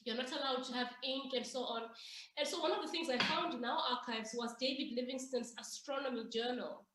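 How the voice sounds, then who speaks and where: A woman from Zimbabwe, an author being interviewed, telling stories in an animated way with frequent restarts. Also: high voice, a little fast. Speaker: author guest. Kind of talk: conversational interview answers. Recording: echoey room.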